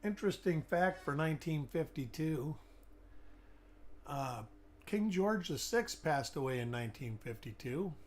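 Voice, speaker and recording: A man's voice: on the high side, lively intonation, unhurried. A middle-aged man hosting a live coin-hunting stream with a weak, fading voice after an illness. He chats along, greeting viewers by name while looking over coins. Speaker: host.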